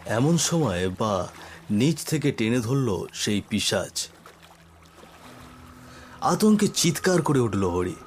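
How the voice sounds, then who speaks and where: A man's voice: medium pitch, sing-song, slow, long pauses, slightly rough. A man giving dramatic scripted narration for a cartoon story.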